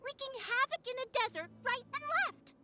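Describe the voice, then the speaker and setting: A woman's voice: very high-pitched, animated, moderate pace, heavy background noise. A woman voicing a haughty, easily exasperated little noble fairy spirit in a video game, with pompous, theatrical and formal delivery.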